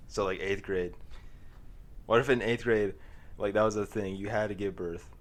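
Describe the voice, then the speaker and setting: Deep-voiced man chatting on a podcast. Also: fairly even intonation, moderate pace. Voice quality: deep, broad voice. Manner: laid-back, rambling, laughs often. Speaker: co-host. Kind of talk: casual podcast chat.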